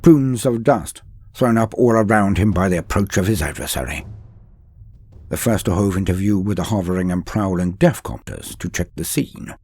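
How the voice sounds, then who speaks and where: A man's voice: sits low, normal speed, a little mellow, breathy edge. A man narrating a comedic mock-epic story with archaic phrasing and joking asides.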